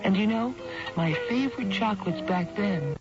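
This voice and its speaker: A woman's voice: mid-range voice, normal speed, over music. Woman, radio commercial, nostalgic storytelling style, dull band-limited recording. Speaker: advertisement speaker.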